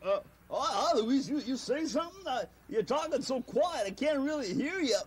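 A man's voice: very high-pitched, animated, moderate pace, breathy edge, exaggerated voice. A man voicing a giggly, scheming prankster puppet. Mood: scheming giggle.

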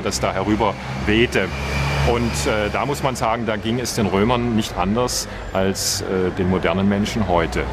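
A man speaking as an archaeologist in a documentary interview outdoors, thoughtful with small hesitations. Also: on the low side. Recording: outdoor street noise.

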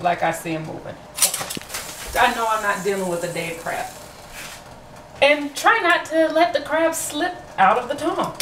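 A woman's voice: mid-range voice, noisy background. A woman from Florida chatting casually through a home cooking vlog, self-deprecating and full of fillers, recorded on a camera in her kitchen. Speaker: vlogger.

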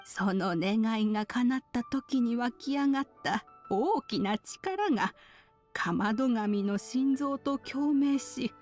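Unhurried, wise old lady. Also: a little high, a little mellow, soft background music. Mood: solemn, explaining. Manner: archaic elderly speech, unhurried sage delivery. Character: kindly wise old woman, a master. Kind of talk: Japanese game voice acting.

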